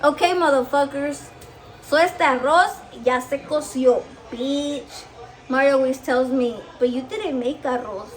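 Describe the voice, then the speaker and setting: A woman's voice: high voice, unhurried. A woman with a Mexican accent, Spanish her first language, chatting casually and profanely while cooking at home and switching between English and Spanish. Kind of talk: casual cooking vlog chat. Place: home kitchen.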